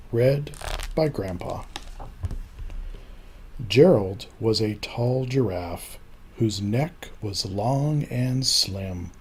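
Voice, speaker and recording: An older man narrating a rhyming children's story in an expressive, deliberate, rhythmic way. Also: on the low side, slight background noise. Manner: deliberate, rhythmic verse reading. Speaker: storybook reader.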